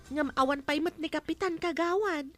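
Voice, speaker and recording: A woman with an Ilocano accent voicing a squeaky young village hall helper who tattles, in a comedy radio drama. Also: normal speed, faint music behind, lightly acted. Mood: tattling.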